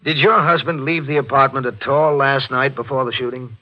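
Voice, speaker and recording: An actor playing a probing, no-nonsense district attorney in a 1940s radio drama, with a brisk, pointed manner. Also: male voice, medium pitch, steady tone, highs cut off, lightly acted. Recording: band-limited 1940s radio recording with music.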